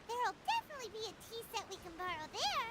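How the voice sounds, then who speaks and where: A woman's voice: extremely high pitch, sing-song, fast talker, heavy background noise. A woman voicing a small, chirpy, floating fairy companion in a video game who talks about herself in the third person. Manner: chirpy, refers to herself by name. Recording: game audio with loud music.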